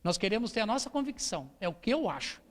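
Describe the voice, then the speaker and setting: Very high-pitched Brazilian preacher, reverberant hall. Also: male voice, big pitch swings, fast. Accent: Brazilian Portuguese. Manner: exhorting, with rhetorical questions and repetition. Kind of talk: church sermon with Bible reading. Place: church hall during a service.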